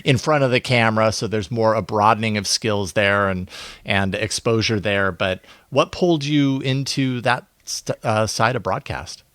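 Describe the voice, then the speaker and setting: A man's voice: lowish voice, normal speed, fairly bright tone, no echo. A man hosting an interview, curious and engaged, asking follow-up questions. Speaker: podcast host. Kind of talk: casual interview chat.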